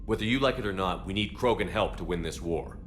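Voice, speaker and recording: An actor playing a steady human military commander in a video game, firm, measured and diplomatic. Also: male voice, sits low, a little hiss or hum.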